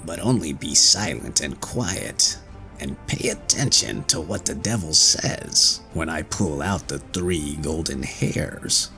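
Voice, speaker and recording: A man voicing the devil's old grandmother, speaking slowly and softly. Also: very deep voice, lively intonation, vocal fry, exaggerated voice. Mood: calm. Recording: faint music behind.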